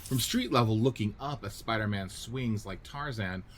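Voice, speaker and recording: A man's voice: on the low side, moderate pace, a bit bright, a little hiss or hum. A man narrating a radio-play script, reading out stage directions and setting each scene descriptively.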